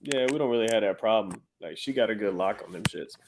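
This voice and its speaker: A man's voice: on the low side, fast talker, noisy background. A man in his thirties hosting a podcast, chatting casually with slang and frequent "uh" fillers. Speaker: podcast host. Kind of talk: casual interview chat.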